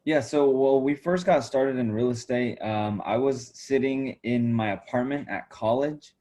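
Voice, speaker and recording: A man of twenty-three telling his story as a podcast guest over a video call, relaxed and full of fillers. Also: mid-range voice, measured pace. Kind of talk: casual interview answers.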